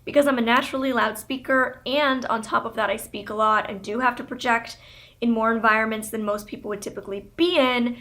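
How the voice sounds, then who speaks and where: A woman's voice: on the high side, fairly even intonation, fairly quick. A young woman vlogger and motivational speaker telling a personal story to camera in a chatty, projecting way, with many filler "like"s. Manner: loud, projecting talker.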